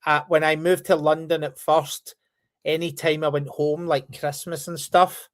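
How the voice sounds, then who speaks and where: A man from just outside Glasgow whose Scottish accent has been worn down by years in England. He chats loosely as an interview guest, with plenty of ums and quick laughs, and his voice sits slightly on the high side. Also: flat delivery. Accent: anglicised Scottish. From Scotland, near Glasgow. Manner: chatty, frequent ums, laughs easily. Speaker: guest, company founder. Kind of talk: casual interview chat.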